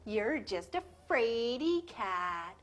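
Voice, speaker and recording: A woman's voice: on the high side, very animated, drawn-out words, full character voice. A woman doing a singsong schoolboy bully. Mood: taunting. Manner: singsong teasing. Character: taunting schoolboy bully, cocky.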